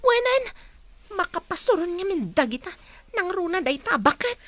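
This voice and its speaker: Sullen, high-voiced Filipino young woman, quarrelsome daughter in a radio drama. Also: big pitch swings, normal speed, a bit bright, exaggerated voice. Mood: sulky. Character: sulky, combative young college-bound daughter.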